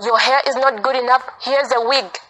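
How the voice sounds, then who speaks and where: Female preacher, slightly high voice, insistent and repetitive, informal sermon on a somewhat noisy recording. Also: steady tone, moderate pace, fairly bright tone. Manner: insistent, repeats phrases for emphasis. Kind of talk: informal sermon, earnest exhortation.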